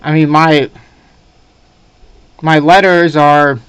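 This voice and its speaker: A man with a fairly high, clean and smooth voice talks on a recorded phone call as a probationer. He is earnest and hesitant, feeling his way through sentences with frequent filler phrases. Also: fairly even intonation, slow pace, a bit bright, no echo. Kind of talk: phone conference conversation.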